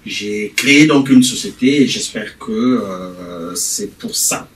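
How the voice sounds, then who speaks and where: A middle-aged man from Congo-Brazzaville, answering questions as a TV interview guest and often pausing with fillers. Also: fairly low pitch, a bit bright. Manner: hesitant, many filler pauses. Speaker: interview guest, company founder. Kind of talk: TV studio interview. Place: TV studio. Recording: roomy studio, distant mic, faint noise.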